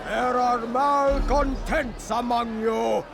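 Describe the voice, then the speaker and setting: An actor playing a cruel, power-hungry executioner in a video game, with a theatrical, declamatory delivery. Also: male voice, extremely high pitch, fairly even intonation, slow, creaky, full character voice. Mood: fervent, commanding. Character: fanatical male executioner, cruel, power-hungry demagogue rousing a crowd. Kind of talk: dramatic video game voice acting. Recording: loud music and crowd behind.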